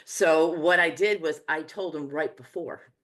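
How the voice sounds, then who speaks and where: Deep-voiced woman, New Jersey accent, loose and chatty guest. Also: a little slow. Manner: relaxed, rambling, with many fillers. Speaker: medium and teacher. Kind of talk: casual interview chat.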